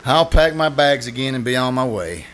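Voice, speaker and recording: An actor playing a befuddled, stammering husband in a 1940s-style radio comedy. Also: male voice, mid-range voice, expressive, normal speed, a bit bright, lightly acted. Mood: resigned. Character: Dick, flustered, stammering young-hearted radio-hobbyist husband.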